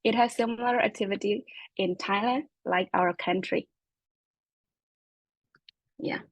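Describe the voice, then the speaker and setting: A woman's voice: mid-range voice, a little slow, no echo, low-quality recording. A young woman from Myanmar, a Chin speaker with a Burmese accent, answering interview questions hesitantly with many fillers. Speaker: international graduate student.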